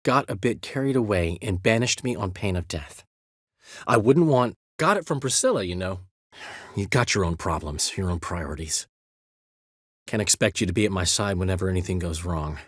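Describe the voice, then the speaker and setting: An actor playing a vain, witty, flamboyant male bard and count in a video game, theatrical and quick to laugh or exclaim. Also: low-pitched, lively intonation, no room sound, clean studio sound. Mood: chatty, rueful.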